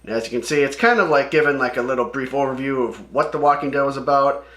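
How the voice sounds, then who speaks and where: A man's voice: medium pitch, quick pace, fairly bright tone. Rambling man with a roomy echo. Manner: rambling, sweary, slangy asides. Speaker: host of comic read-along videos. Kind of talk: casual read-along commentary.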